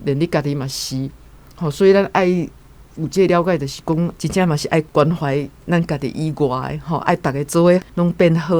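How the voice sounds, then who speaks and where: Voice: mid-range voice, darkish tone. A speaker with a Taiwanese accent talking reflectively and casually, earnestly explaining with frequent interjections.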